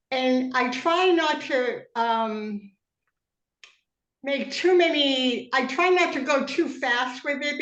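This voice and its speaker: A woman from Boston asks a question on a video call, talking in a chatty way as she searches for words. Her voice is high, and the room around her echoes. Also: fairly bright tone. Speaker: audience member.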